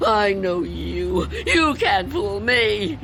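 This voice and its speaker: An actress playing a frightened, suspicious old recluse in a radio drama, distrustful and pleading. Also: female voice, medium pitch, very animated, drawn-out words, exaggerated voice. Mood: accusing.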